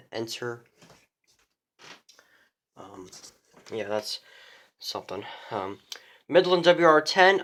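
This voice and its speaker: A young man giving a casual show-and-tell of his radio collection, rambling, with many fillers and restarts. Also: mid-range voice.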